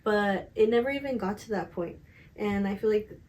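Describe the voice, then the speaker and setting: A young woman with a slightly raspy, medium-pitched voice talks as a vlogger. Her delivery is casual and confessional, candid and slangy, with emphatic stress on her points. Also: normal speed, darkish tone. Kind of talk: casual confessional chat to camera.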